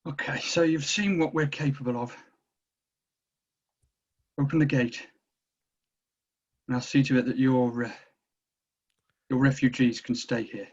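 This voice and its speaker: A man's voice: medium pitch, fairly even intonation, measured pace, pauses often, slightly rough, muffled recording. A man playing a hard, self-serving military commander, firm and bargaining, in a screenplay table read.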